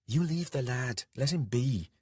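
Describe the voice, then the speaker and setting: A man's voice: medium pitch, expressive, unhurried, dark tone, breathy edge, no room sound, clean studio sound, voice pushed up. A man voicing a fierce, protective woman in a fantasy audiobook, intense and earnest.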